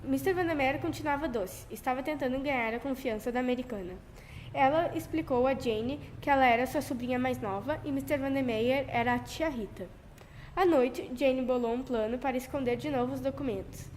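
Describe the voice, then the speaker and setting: High-voiced young teenage girl narrating. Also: brisk, darkish tone. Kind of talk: scripted radio drama narration. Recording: noisy amateur school recording.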